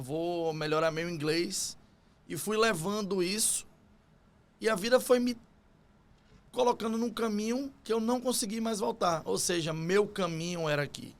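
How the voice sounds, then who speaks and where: Podcast guest: male business owner with a Bahian accent, slightly high-pitched voice, talking through his story in conversation. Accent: Bahian Brazilian Portuguese. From Salvador, Bahia, Brazil.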